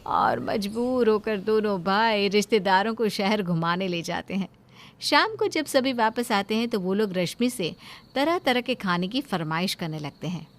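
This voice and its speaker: Female storyteller, medium pitch, scripted cartoon narration. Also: lively intonation, a little slow.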